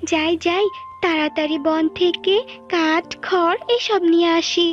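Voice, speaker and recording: Woman as Tuni, a very high-pitched busy bird. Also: fairly even intonation, measured pace. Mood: determined. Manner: chattering to herself, repeating words. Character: busy, hardworking, helpful little bird Tuni. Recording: dull lo-fi sound, music behind.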